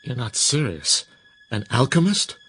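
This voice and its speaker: A skeptical, frightened old scientist. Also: male voice, mid-range voice, very animated, unhurried, music in the background. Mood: incredulous. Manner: incredulous, insistent. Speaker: actor in a radio drama. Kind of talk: radio drama acting.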